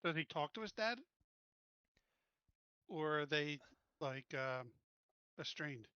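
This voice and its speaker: A man's voice: on the high side, animated, takes it slowly, bright, forceful tone, clear, resonant tone, no echo, lo-fi sound. A man playing in a tabletop roleplaying game, talking and asking questions in casual conversation.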